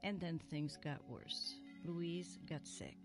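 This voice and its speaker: A woman playing a kindly elderly retired librarian in an audio drama, reminiscing gently and sorrowfully. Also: sits low, slow pace. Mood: wistful, sad. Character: kindly, wistful elderly female retired small-town librarian. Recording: music under the voice. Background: music.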